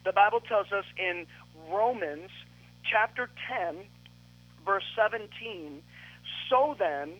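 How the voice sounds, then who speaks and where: A man's voice: sits high, animated, slow pace, lo-fi sound. A man preaching and praying as a radio pastor, fervent and stretching key words for emphasis. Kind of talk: radio sermon with prayer. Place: radio studio.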